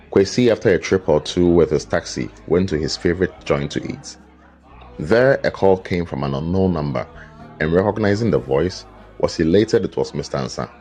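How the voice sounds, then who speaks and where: A man narrating a scripted folktale as a storyteller, with a measured, dramatic delivery. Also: deep voice, darkish tone. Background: background music with guitar.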